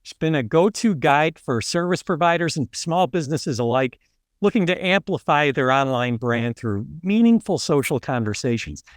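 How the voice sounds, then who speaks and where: A man's voice: on the high side, lively intonation, normal speed, dry recording, studio quality. A man hosting a podcast, reading a polished intro and then chatting loosely with a guest, with plenty of fillers and restarts. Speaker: podcast host. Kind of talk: scripted intro then casual interview.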